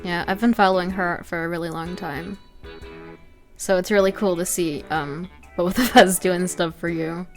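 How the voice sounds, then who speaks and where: A woman's voice: lowish voice, fairly even intonation, moderate pace. A woman chatting informally as an illustrator talking through her own drawing process. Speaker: illustrator, video creator. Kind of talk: casual chat over a speedpaint. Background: music.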